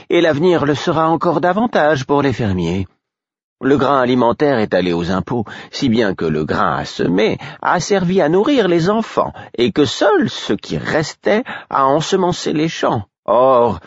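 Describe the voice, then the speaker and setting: A man doing a kindly older harpist with swooping pitch. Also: sing-song, a little slow, slightly rough, dry recording, highs cut off, full character voice. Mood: grave. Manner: reassuring, lecturing.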